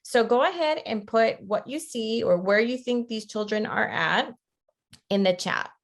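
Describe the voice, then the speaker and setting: A woman's voice: fairly high pitch, lively intonation, unhurried. A woman presents an interactive online lecture in a conversational way, often checking in with her listeners. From Costa Rica. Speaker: webinar presenter, teacher educator.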